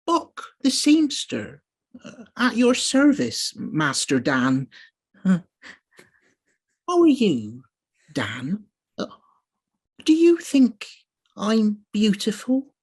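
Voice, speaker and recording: Husky, shy little seamster. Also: female voice, mid-range voice, huge pitch swings, very slow, long pauses, full character voice. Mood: timid. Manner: hesitant, deferential. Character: humble, shy, eager-to-please servant seamster.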